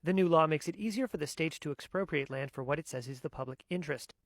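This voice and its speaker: A male reporter with a slightly higher voice and a gravelly edge reads news narration. The recording is dry and close to the mic. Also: fast, no room sound.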